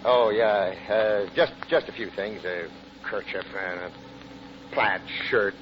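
A man's voice: lowish voice, takes it slowly. A man playing a stubborn, defensive middle-aged father in a vintage radio comedy, on an old recording. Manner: hesitant, hemming when challenged. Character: stubborn, defensive family father, easily exasperated. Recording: muffled, noisy old-time radio recording.

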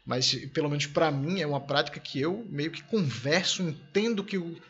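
A man's voice: on the high side, normal speed, a bit bright, lo-fi sound. A man in his thirties from Brazil hosting a casual conversation, telling enthusiastic anecdotes about his family.

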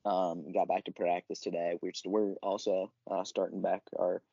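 Give me a deep, dark-voiced teen guy clearing his throat. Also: normal speed, dry recording. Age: teens. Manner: relaxed, filler-heavy. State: recovering from illness, clearing throat. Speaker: podcast co-host. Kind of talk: casual sports chat and news rundown. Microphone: basic home podcast setup. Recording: dull lo-fi dry recording.

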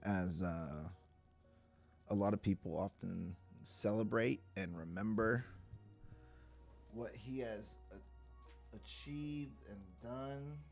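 A man with a hoarse, stuffy voice, giving a casual livestreamed Bible teaching in a chatty, self-deprecating way. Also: lowish voice, slow, long pauses, a little mellow, soft background music. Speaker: pastor.

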